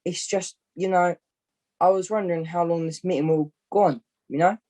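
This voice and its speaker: A young woman acting a laid-back, self-important puppet with husky, slangy, casual talk in an amateur puppet show. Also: lowish voice, steady tone, moderate pace, warm tone, close to an everyday voice. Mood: casual, impatient.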